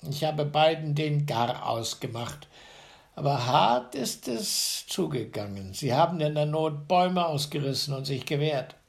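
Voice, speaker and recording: A man's voice: on the high side, sing-song, unhurried, vocal fry, heavily acted. A man voicing a small, boastful young tailor who brags brashly in a fairy tale reading. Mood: cocky.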